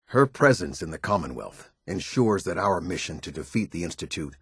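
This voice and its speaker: Strict american military captain for a video game, husky, formal, lots of pitch movement, really dry close sound. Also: male voice, animated, fairly bright tone, no echo, heavily acted. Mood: measured, grave briefing. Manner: clipped, authoritative, measured military formality. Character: stern, formal male military officer of a post-apocalyptic order. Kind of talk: video game character dialogue.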